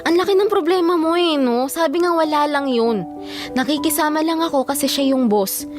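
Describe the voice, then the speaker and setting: A woman's voice: sits high, normal speed, over music, close to an everyday voice. A Filipino woman playing a loyal, exasperated young office worker in an audio drama. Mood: concerned, exasperated.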